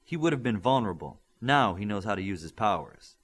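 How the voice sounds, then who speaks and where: A man voicing a commanding adult male superhero team leader who talks firmly, briskly and with authority. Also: lowish voice, lively intonation, fairly bright tone, breathy edge.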